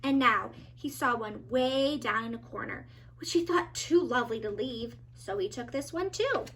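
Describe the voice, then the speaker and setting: A woman narrating a children's storybook as a teacher, with expressive, dramatic emphasis. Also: a little high, moderate pace, a little hiss or hum.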